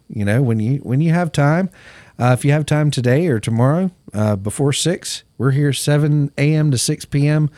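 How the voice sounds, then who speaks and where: A man's voice: mid-range voice, mellow, dry recording. A man hosting a radio fundraiser in the studio, talking casually and rambling with many fillers. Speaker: radio host. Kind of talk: casual on-air fundraiser chat. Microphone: studio mic.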